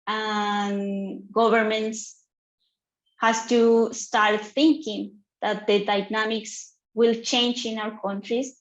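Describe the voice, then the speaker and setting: A woman from Colombia with a Colombian Spanish accent, a native Spanish speaker, presenting earnestly on a panel in halting English with restarts over a video call. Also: medium pitch, reverberant, muffled recording. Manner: earnest, halting second-language phrasing with restarts.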